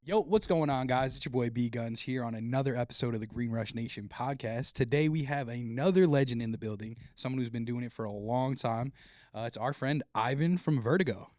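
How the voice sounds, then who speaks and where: A man's voice: medium pitch, brisk, a little mellow, faint music behind. A man hosting a podcast, talking in a casual, upbeat way and swearing freely. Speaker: podcast host. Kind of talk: casual interview chat.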